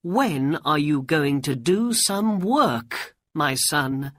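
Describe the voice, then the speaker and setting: A narrator voicing an exasperated mother in a storybook, nagging and reproaching her lazy son loudly. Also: mid-range voice, lively intonation, very slow, dry recording, studio quality, pitched above the performer's own voice. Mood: scolding, exasperated.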